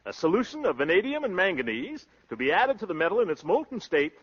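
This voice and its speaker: A muffled 1930s radio recording of a breezy show-off suitor. His voice sits fairly high and swings widely in pitch, full of glib slang and pompous flourishes. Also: very animated, quick pace, fairly bright tone, husky, full character voice. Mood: boastful. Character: brash, boastful young suitor, a breezy windbag show-off. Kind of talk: 1930s radio comedy acting.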